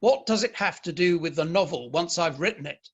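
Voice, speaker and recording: A man's voice: on the high side, lively intonation, a little fast, slightly rough, dull audio. A man acting a stern, proud Victorian father and novelist in a play reading, with formal, emphatic speech. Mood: indignant, blustering.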